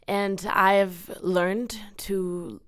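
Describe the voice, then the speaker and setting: Girl in her late teens from mexico, slow talker, smooth voice, earnest n excited interview chat. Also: mid-range voice, no echo, clean studio sound. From Cancun, Mexico. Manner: enthusiastic, earnest. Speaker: podcast guest, student singer-songwriter. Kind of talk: casual interview answers.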